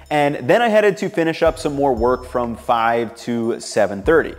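A young man in his twenties, upbeat and relaxed, narrating his home cooking and chatting to the camera in his kitchen. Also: fairly high pitch. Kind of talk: cooking vlog voice-over and casual to-camera chat.

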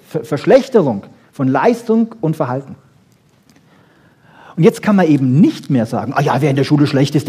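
A man lectures in an informal, didactic style. His voice sits slightly high for a man, with a soft, dark tone and a husky edge. He stresses his points firmly and often tags them with a brief check-in question. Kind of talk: informal public lecture on research.

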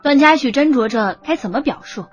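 A woman's voice: high voice, expressive, brisk, highs cut off, soft background music. A woman narrating a romance novel as a scripted audiobook.

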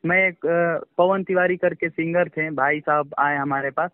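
A man giving an informal phone interview as a songwriter, speaking in a halting, repetitive, defensive way. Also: fairly high pitch, steady tone, no echo. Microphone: phone call. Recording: tinny, dry phone-call line.

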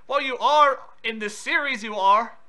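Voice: medium pitch, a little slow, sharp tone. A prank caller on a phone call, confrontational and insistent with questions.

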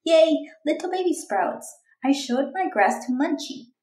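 A woman voicing an eager, determined young girl reading out her storybook diary in an earnest, expressive way. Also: high voice, measured pace, roomy sound, pitched above the performer's own voice. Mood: hopeful.

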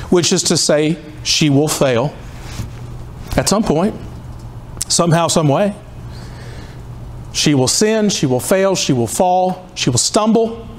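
Fifties male pastor, slightly high voice, pleading and rhythmic, faint hiss in a church hall. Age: 50s. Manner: impassioned, rhythmic repetition, pleading. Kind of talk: sermon. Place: church hall in front of a cross.

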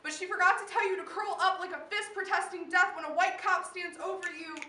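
A young female slam poet recites with solemn intensity and measured phrases. Her pitch is very high and her tone bright. The recording is echoey, as if from across the room. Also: fairly even intonation. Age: teen or young adult. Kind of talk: spoken word slam poetry performance. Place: live poetry event in a room. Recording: echoey room, distant mic, faint noise.

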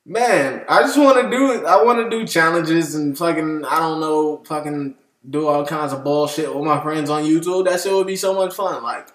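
A man's voice: on the high side, normal speed, hardly any pauses, a bit bright. A man in his twenties with an American accent, chatting casually with profanity as he hosts a reaction video. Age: 20s. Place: small indoor room at home. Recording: roomy, echoey indoor sound.

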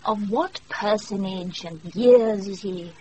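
A woman's voice: mid-range voice, a little mellow, close to an everyday voice, low-quality recording. A British actress playing a proud, wry young noblewoman in a Shakespeare radio play, speaking imperiously, recorded off a wireless with some noise. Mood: cool, curious. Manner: imperious, dry, measured.

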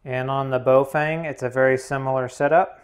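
A man's voice: medium pitch, steady tone, normal speed. A man narrating a hands-on tutorial, explaining plainly one step at a time. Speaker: instructor, demonstrator.

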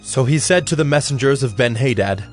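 Measured male scripture narrator over music. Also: medium pitch, expressive. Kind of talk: scripted audio Bible narration.

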